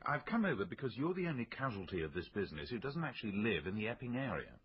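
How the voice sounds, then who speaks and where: An earnest, sceptical doctor with a British accent. His voice is breathy and expressive, his manner probing and a little hesitant. It is a radio drama with a muffled sound. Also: lowish voice, quick pace, full character voice. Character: earnest, sceptical male doctor and lecturer, methodical.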